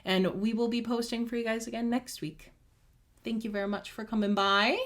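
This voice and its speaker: A woman's voice: on the high side, steady tone, moderate pace. A young woman in her twenties co-hosting a casual chat, talking in a chatty, upbeat way. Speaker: co-host.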